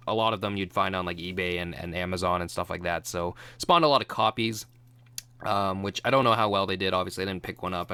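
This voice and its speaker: A man's voice: low-pitched, sharp tone, clear, resonant tone, no echo. A young man with a cold, sounding nasal and congested, rambling casually through a product unboxing with many ums and restarts. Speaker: YouTube reviewer.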